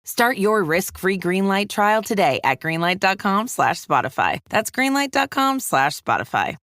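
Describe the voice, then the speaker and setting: A woman delivers a scripted sponsor read in an informative sales style. Her pitch is average, and the recording is very dry and close. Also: sing-song, moderate pace, a bit bright, no echo. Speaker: advertisement announcer.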